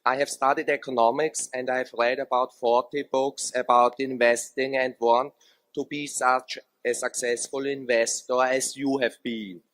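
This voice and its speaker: A man with an Austrian accent and German as his first language, with a mid-pitched voice and dry sound. He delivers a prepared audience question in a formal, careful way. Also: little pitch movement, a little slow, fairly bright tone, no room sound. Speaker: audience member asking a question.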